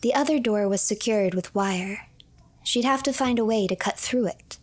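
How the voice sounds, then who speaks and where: A woman streamer narrating a video game as a story, reading in a measured, formal storyteller delivery. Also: medium pitch. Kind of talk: scripted story narration, third person. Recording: clear background noise, game ambience.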